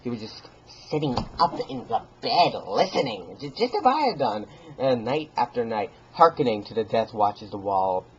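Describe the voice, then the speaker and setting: A man voicing a manic madman in a gothic horror story, halting and stammering as he repeats words and swings between hushed and agitated speech. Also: mid-range voice, very animated, lightly acted. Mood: tense, agitated. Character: manic, stammering madman gleefully confessing his stalking of an old man. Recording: dull lo-fi home recording, faint noise.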